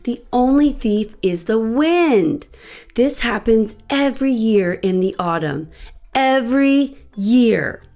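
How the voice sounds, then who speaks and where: A woman's voice: fairly high pitch, lively intonation, slow, darkish tone. Woman as a sensible, weary storybook bird. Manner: level, matter-of-fact reassurance. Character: sensible, patient, weary bird soothing a friend.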